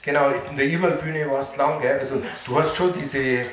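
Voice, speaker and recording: A man's voice: medium pitch, fairly even intonation, normal speed, a crowd in the background. Male interviewer, casual and chatty, echoey crowded room. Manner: chatty, frequent tag questions.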